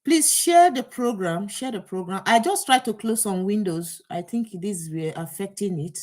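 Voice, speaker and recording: A woman hosts a live online talk, speaking through an earpiece. Her pitch sits in the middle of the usual range. She sounds flustered, thinks aloud and keeps restarting her sentences with fillers. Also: animated, normal speed, darkish tone. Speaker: host of an online program.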